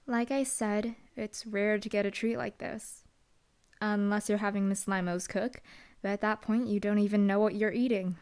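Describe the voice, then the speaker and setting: A woman's voice: mid-range voice, fairly even intonation, normal speed, darkish tone, smooth, natural voice. A young woman voicing a dry, teasing female hellhound demon bodyguard in an audio roleplay. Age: young adult. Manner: dry, teasing, laid-back.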